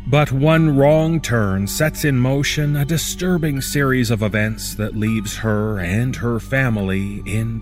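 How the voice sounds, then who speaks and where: Measured male podcast host over music. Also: medium pitch, a little mellow. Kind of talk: scripted horror podcast introduction.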